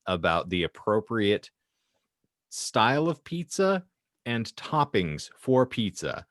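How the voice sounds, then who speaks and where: A man's voice: on the low side, sing-song, slow pace, a bit bright, no echo, studio quality. A man hosting a casual podcast, chatting in a wordy, mock-formal way with elaborate vocabulary. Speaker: podcast host.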